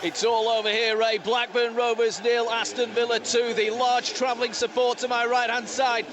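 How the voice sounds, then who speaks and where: A man's voice: very high-pitched, little pitch movement, moderate pace. A man giving a live report on a football match from the stadium. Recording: clear stadium noise behind.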